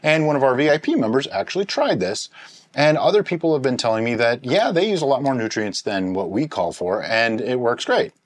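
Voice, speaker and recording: Man, middle-aged, home brewing host, animated pitch, casual how-to chat. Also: fairly quick. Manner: animated, explains steps while handling gear. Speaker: homebrewing show host. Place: home studio with shelves of bottles.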